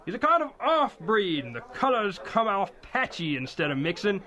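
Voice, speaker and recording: Grumbling rustic carter, animated and bright. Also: male voice, high voice, normal speed, full character voice. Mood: gossipy, insistent. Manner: folksy, grumbling, dialect grammar. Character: gruff rustic male carter, gossipy and grumbling, older working man. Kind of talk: audio drama acting.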